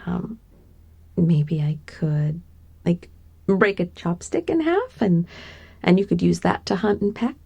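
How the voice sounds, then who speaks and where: Breathy, doting giantess secretary, played by a woman. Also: on the low side, expressive, slow, pauses often, dull audio, heavily acted. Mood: helpful, playful. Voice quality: breathy, soft, often slipping into whisper. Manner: tender, coy, hesitant, giggly asides. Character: gentle giantess secretary, doting, teasing, soothing, grown woman. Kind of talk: ASMR roleplay, intimate one-sided conversation.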